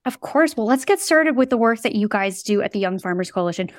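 A woman's voice: medium pitch, expressive, fast, no echo, studio quality. A woman co-hosting a podcast who reads an ad and welcomes a guest in a bubbly, effusive way. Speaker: podcast co-host. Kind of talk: upbeat ad read and interview hosting.

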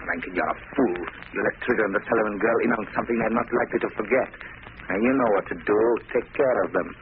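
Man playing a fast-talking, ruthless crime boss. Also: on the low side, natural voice. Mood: cold, commanding. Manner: curt, domineering, clipped. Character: ruthless, domineering male crime boss, cold and impatient. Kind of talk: 1940s radio crime drama acting. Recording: noisy, tinny old radio recording.

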